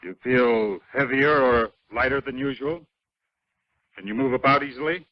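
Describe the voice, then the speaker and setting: An actor playing a concerned, cautious spaceship commander in a 1950s radio drama. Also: male voice, medium pitch, moderate pace, no echo, close to an everyday voice. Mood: urgent concern. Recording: muffled, dry vintage radio recording.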